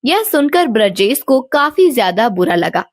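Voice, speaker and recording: A woman's voice: on the high side, sing-song, unhurried, dry recording, clean studio sound. A woman storyteller giving scripted narration of a moral tale.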